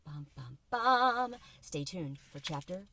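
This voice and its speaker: Bright-voiced woman telling a suspenseful story. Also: fairly low pitch, takes it slowly, slight background noise. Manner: expressive, suspenseful storytelling with varied volume. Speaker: teacher reading a children's book aloud. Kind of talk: dramatic storybook narration. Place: inside a parked car.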